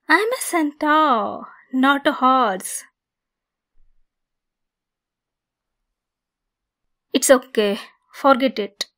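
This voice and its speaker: A woman playing a possessive centaur captor, bossy yet caring, in an ASMR roleplay monologue with a deliberate, teasing delivery. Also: on the high side, a bit bright. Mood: softened, wry.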